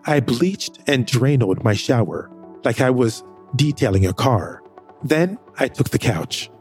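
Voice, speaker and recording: A man narrating a first-person audio drama with expressive delivery. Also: unhurried, faint music behind. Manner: expressive, rising pitch in dialogue.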